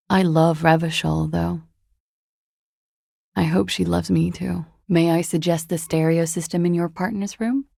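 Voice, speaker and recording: Low creaky female voice, cool young disco dancer, flippant, evasive, deadpan, video game dialogue, close dry recording. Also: dry recording, full character voice. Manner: languid, wry, deadpan, flippant. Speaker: video game character voice actor.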